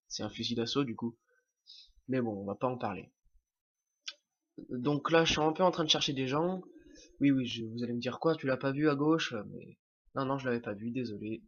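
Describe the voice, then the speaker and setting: A man's voice: medium pitch, fairly bright tone, highs cut off. A young man with a French accent, who has a cold, commenting casually on his own gameplay, hesitant and full of fillers and restarts.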